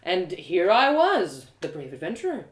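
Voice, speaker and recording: Animated woman storyteller in a roomy space. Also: fairly low pitch, normal speed. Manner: theatrical. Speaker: storyteller and audio drama performer. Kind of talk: expressive first-person fantasy story narration, live reading.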